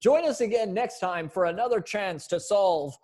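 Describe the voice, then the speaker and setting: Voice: medium pitch, lively intonation, moderate pace, darkish tone, voice pushed up, lightly acted. A performer playing a playful, emphatic radio mystery announcer, singing out musical stings and sound effects. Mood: upbeat. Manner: theatrical, sing-song, emphatic.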